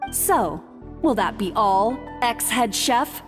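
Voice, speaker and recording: A woman's voice: a little high, lively intonation, takes it slowly. A woman voicing a smug, mocking rival head chef who talks in a sarcastic, taunting way, in a game. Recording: game audio, reverb, music behind.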